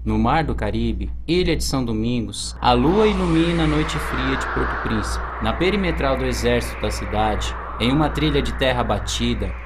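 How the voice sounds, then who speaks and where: A man's voice: mid-range voice, background noise. A young man with a Brazilian accent reading scripted narration for an amateur radio drama. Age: young adult. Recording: loud music under the voice.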